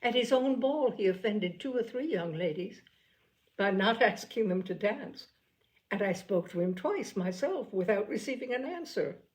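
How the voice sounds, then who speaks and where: Woman doing a sharp witty young lady, ironic and lively, old novel reading. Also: medium pitch, normal speed, natural voice, low-quality recording. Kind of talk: audiobook character voice.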